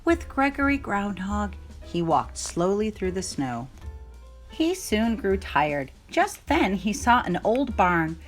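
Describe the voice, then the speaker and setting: A woman's voice: mid-range voice, very animated, slow pace, faint music behind. A woman reading a children's story aloud as narrator.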